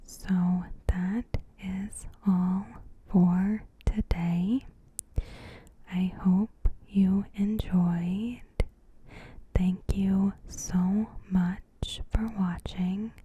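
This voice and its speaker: A woman softly whispering a scripted recap in a gentle, measured, breathy ASMR style. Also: mid-range voice, fairly even intonation, slight background noise, rough recording. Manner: gentle, measured, careful phrasing. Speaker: ASMR host.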